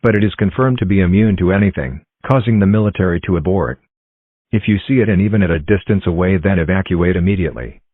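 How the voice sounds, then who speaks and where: A low-pitched male announcer delivers a formal emergency alert through narrow, phone-like audio. The delivery is flat and impersonal with a synthetic feel, and the sound is dry with no room around it. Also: quick pace, no room sound.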